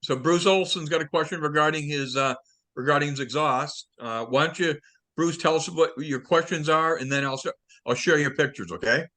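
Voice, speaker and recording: A man's voice: fairly high pitch, moderate pace, bright, forceful tone. A man casually moderating a technical group call as its host.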